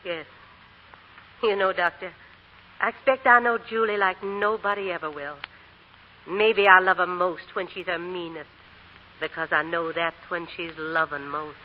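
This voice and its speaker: A woman's voice: fairly low pitch, fairly even intonation, measured pace, long pauses, close to an everyday voice. An actress playing an anxious, doting middle-aged aunt, with a motherly manner, in a 1940s radio drama. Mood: fond, earnest. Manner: fretful, motherly. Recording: dull, noisy 1940 radio recording.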